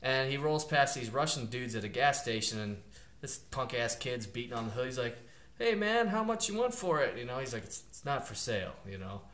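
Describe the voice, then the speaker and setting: A man chats loosely with plenty of "like" and "you know", building up a plot retelling. His voice is bright and forward. The room around him rings with noticeable echo. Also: mid-range voice, brisk. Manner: loose and rambling, lots of fillers, animated retelling. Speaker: podcast co-host. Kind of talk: casual chat. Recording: roomy echo.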